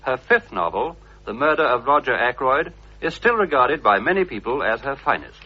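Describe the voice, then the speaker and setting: A man reading scripted documentary narration in a measured, formal storytelling manner, from a dull old radio broadcast. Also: medium pitch, sing-song, slight background noise.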